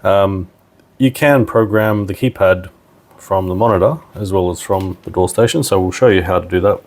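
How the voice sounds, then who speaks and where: A man's voice: low voice, normal speed, a little mellow. A man giving a casual, plain-spoken step-by-step technical demonstration as a tutorial presenter.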